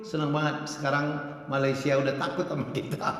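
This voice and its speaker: A man's voice: a little high, monotone, fairly quick, fairly bright tone. A man with an Indonesian accent chatting casually as the host of a discussion. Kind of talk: casual chat. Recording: very echoey, reverberant room.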